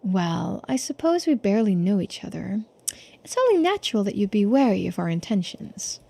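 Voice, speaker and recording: Woman as female investigator, mellow tone, animated intonation, polite, teasing, formal, poised, video game character. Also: mid-range voice, moderate pace, creaky. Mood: coyly probing. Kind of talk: game character voiced in a playthrough.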